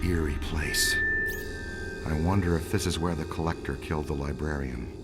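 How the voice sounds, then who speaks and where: A man playing an eager, earnest ghost hunter with boyish enthusiasm and an animated delivery in a video game. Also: very deep voice, normal speed, loud music behind the voice. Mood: uneasy, hushed.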